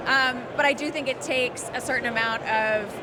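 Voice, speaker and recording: A woman's voice: on the high side, fairly even intonation, moderate pace. A woman giving casual, conversational interview answers, explaining things as a podcast writer being interviewed. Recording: loud urban background noise, on location.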